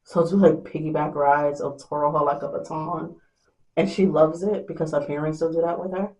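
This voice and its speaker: A young woman vlogger in a small room at home, talking softly and rambling to the camera at night, sometimes losing her train of thought. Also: steady tone, normal speed, rough recording. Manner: soft and low-voiced at night. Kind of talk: casual late-night chat to camera.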